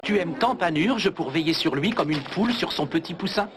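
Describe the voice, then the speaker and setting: A man voicing a good-natured giant hero in an animated cartoon. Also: on the high side, a little fast, noisy background, natural voice. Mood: curious, teasing. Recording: loud music over VHS rip.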